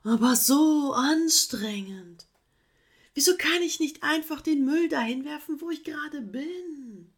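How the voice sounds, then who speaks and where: A woman's voice: sits high, animated, takes it slowly, voice pushed up, full character voice. A woman voicing a lazy, laid-back male sloth who drawls and whines. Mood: whiny.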